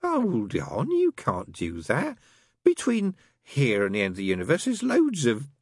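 A man's voice: medium pitch, wildly swooping pitch, measured pace, darkish tone, slightly rough, dry recording, studio quality, pitched above the performer's own voice, exaggerated voice. A man reading aloud and voicing a fussy, anxious male angel who is tipsy, speaking in a fretful, hesitant way. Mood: exasperated.

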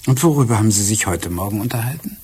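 An actor playing a calm, probing police inspector in a German radio crime drama, questioning deliberately and with restraint. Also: male voice, on the low side, breathy edge.

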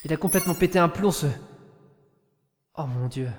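A man's voice: a little high, moderate pace, slight background noise, lightly acted. A man acting in an audio drama as a frantic, cursing lone space pilot who mutters to himself. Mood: anxious muttering. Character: panicked, foul-mouthed lone space pilot trapped with a killer drone. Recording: echoey reverberant hall, loud music behind.

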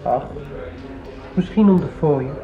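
An actor playing an earnest, insistent young man in a radio drama, with a hesitant, halting delivery. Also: on the high side. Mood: guarded, casual. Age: young adult. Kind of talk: naturalistic radio drama acting. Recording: dull old radio play recording.

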